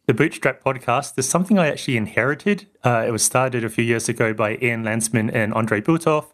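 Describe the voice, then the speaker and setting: A man from New Zealand with a mixed New Zealand and Australian accent, speaking calmly as a podcast guest with dry humor. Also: medium pitch. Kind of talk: casual conversational interview.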